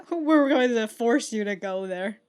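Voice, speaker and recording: A woman giving casual reaction commentary, slangy and exclamatory, swearing freely and often breaking into giggles. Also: high voice. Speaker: reaction video host.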